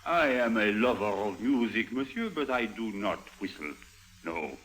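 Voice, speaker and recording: Fussy, swooping concierge. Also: male voice, fairly low pitch, very animated, fairly bright tone, rough recording. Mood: discreet, knowing. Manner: polite, fussy, measured formality. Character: formal, discreet, fussy French male hotel concierge. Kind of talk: 1950s radio drama acting. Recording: 1950s radio recording.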